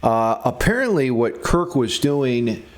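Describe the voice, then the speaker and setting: A man's voice: medium pitch, sing-song, slow pace. A man giving a casual, informal music theory lesson as a guitar instructor, thinking aloud as he demonstrates. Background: electric guitar playing.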